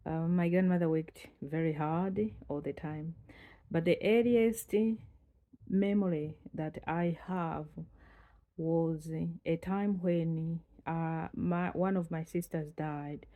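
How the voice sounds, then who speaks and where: A Malawian woman in her forties from the north of the country, telling her own life story in an unhurried way with many pauses and fillers. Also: sits low, steady tone, warm tone. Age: 40s. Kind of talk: reflective personal storytelling.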